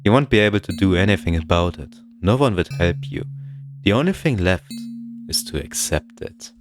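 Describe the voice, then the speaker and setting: A man doing a cold, calm intruder. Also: low-pitched, soft background music. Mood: coldly calm. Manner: flat, slow and unbothered. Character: calm, cold male intruder claiming the room.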